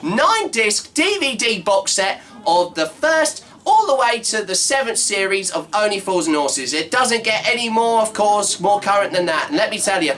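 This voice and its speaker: A man's voice: high-pitched, sing-song, normal speed, few pauses, a bit bright. A man playing a brash male Cockney market trader with pushy sales patter who keeps saying "of course". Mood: hawking. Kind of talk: comedy sketch character monologue.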